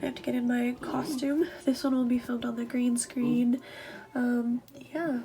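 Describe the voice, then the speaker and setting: Casual vlog woman: soft, breathy delivery, slightly high pitch, pronounced vocal creak. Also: flat delivery, a little slow. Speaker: ASMR video creator and vlogger. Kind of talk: casual behind-the-scenes vlog chat.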